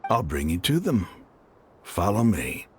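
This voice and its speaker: An actor playing a gruff but easygoing male desert mercenary in a video game, gravelly and plain-spoken. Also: sits low, sing-song, unhurried, slight background noise. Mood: assured.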